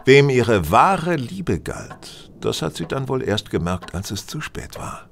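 An actor in a German audio drama playing a shrewd, cool gentleman detective who speaks in a measured, precise, superior way. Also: medium pitch, sing-song, vocal fry, slight background noise, heavily acted. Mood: grave, subdued.

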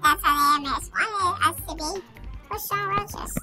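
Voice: very high-pitched, unhurried. A performer with a childlike voice playing mischievous, greedy little cartoon cockroaches in a fandub, cheeky and playful. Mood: greedy, smug. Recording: music behind the voice.

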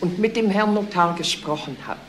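An actress playing a curt, unforthcoming notary's clerk in a radio crime drama. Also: female voice, fairly low pitch, moderate pace, lightly acted. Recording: roomy, faint noise.

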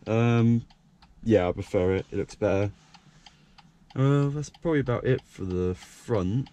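A young man with a croaky voice, talking casually and rambling with lots of fillers while he films himself showing off his car. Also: on the low side, measured pace, warm tone. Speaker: car vlogger. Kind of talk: casual point-of-view car walkaround. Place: parked car by a country lane, outdoors and inside the car. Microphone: action camera held by hand.

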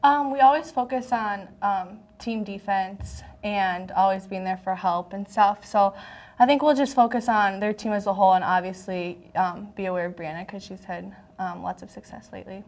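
A woman's voice: mid-range voice, moderate pace, darkish tone. A young woman college basketball player answering interview questions casually, full of "um" and "and stuff". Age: around 20. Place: small TV studio, indoors.

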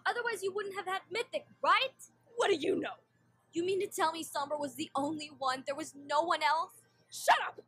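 Woman doing an evil jealous cartoon pony lady, really high voice, dramatic and taunting. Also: pushed and bright, dry recording, heavily acted. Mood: hurt, angry. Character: bitter, jealous villainous pony mare, vengeful and mocking. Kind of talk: fan animation voice acting.

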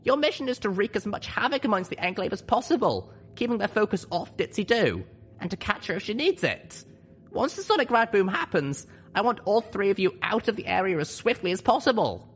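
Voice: mid-range voice, sing-song, brisk, a little hiss or hum, pitched above the performer's own voice. A narrator voicing an earnest, determined young female pony leader, speaking solemnly and urgently in a story reading. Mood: solemn, commanding. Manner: solemn, urgent speech-making.

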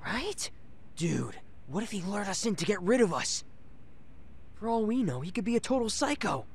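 An actor playing an excitable, slangy young punk in a video game. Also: male voice, sits high, normal speed, slight background noise. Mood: suspicious.

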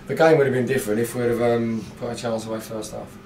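Flat-voiced football manager, echoey room. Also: male voice, mid-range voice, fast, far from the mic, a little hiss or hum. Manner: frustrated, blunt, rambling with fillers. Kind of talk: post-match interview. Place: small indoor club room. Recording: echoey room, mic set back.

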